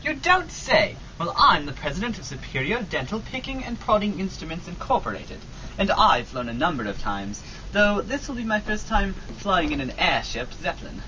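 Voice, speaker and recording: A man playing a pompous, gentlemanly figure with old-fashioned manners in an old-time radio drama. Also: high voice, very animated, moderate pace, exaggerated voice. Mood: jovial, pompous. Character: pompous, jovial company president and puzzled airship captain. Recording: muffled mic, clear background noise.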